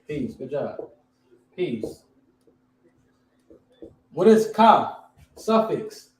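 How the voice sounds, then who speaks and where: A man's voice: fairly high pitch, very animated, very slow, a little mellow, echoey room, low-quality recording. A man teaching a class, quizzing his students and repeating key words.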